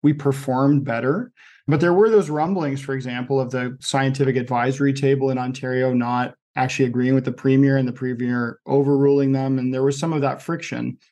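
A Canadian man in his own podcast discussion, with a voice of middling pitch. He thinks aloud as he goes, starting phrases over and leaning on "like" while he gathers his thought. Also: fairly even intonation, brisk, darkish tone. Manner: thinking aloud, many restarts and "like". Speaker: podcast host.

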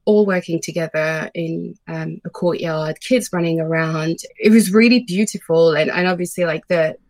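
A woman's voice: sits low, normal speed, darkish tone, dry recording. A woman in her thirties who grew up in France, a guest in a casual interview, telling her story with frequent ums. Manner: friendly, storytelling with many ums. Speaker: guest, brand founder.

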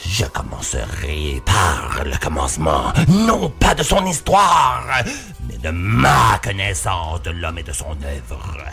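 A man's voice: deep voice, wildly swooping pitch, slow pace, creaky, fairly bright tone, faint music behind. A man with a Québécois accent, hosting a podcast in a theatrical, declamatory way with dramatic swoops, reciting poetry. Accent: Québécois French. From Quebec. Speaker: podcast host.

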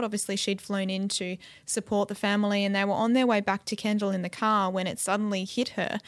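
A woman's voice: medium pitch, no room sound. An Australian woman explaining a case as a journalist in a conversational true crime podcast style.

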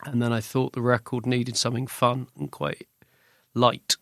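A man's voice: medium pitch, level tone, normal speed, vocal fry, a bit bright, dry recording, studio quality. A British man talking casually as a musician guest in an interview, rambling and correcting himself as he goes. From Britain. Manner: rambling, self-correcting, informal. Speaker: musician guest, singer-songwriter.

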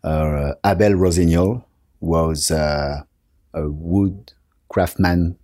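Deep, mellow French-accented man. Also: slow, dry recording, clean studio sound. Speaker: interview guest, company marketing director. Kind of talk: conversational interview answers. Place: office.

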